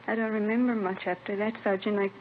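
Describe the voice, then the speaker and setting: An actress playing a distraught, grieving young mother making a halting confession in a 1950s radio drama. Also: medium pitch, little pitch movement, natural voice. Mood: grieving, haunted. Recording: tinny, noisy vintage radio recording.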